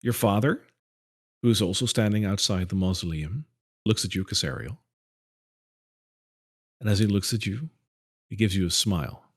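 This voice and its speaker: A man narrating a tabletop game as game master, with descriptive narration and quick asides. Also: lowish voice, expressive, slightly rough.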